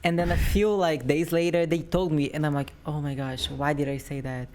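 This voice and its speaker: A woman's voice: deep voice, fairly even intonation, moderate pace, darkish tone. A woman in her twenties with a Brazilian Portuguese accent, a native Portuguese speaker who grew up in Brazil, chatting as an interview guest, friendly and giggly, sometimes searching for words. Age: 20s. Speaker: guest athlete. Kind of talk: casual interview.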